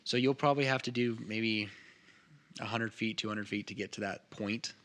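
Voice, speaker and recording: A man's voice: lowish voice, fairly even intonation, normal speed, fairly bright tone. A man casually narrating a tabletop game as game master among friends.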